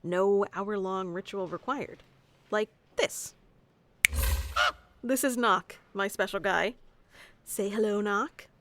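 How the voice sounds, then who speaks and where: A woman's voice: medium pitch, takes it slowly, long pauses, very noisy, lightly acted. A woman playing a bossy, geeky tsundere wizard teacher who explains things informally and smugly in an ASMR roleplay. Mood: smug, instructive. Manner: informal, smug, flustered asides, explanatory. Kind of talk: scripted ASMR roleplay audio addressed to the listener.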